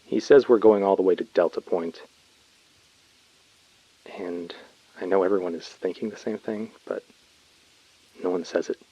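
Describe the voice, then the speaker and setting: A man's voice: deep voice, moderate pace, pauses often, mellow, dull audio. A man playing a weary, uneasy colonist recording a subdued, brooding field message in a sci-fi audio drama. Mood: uneasy, resentful.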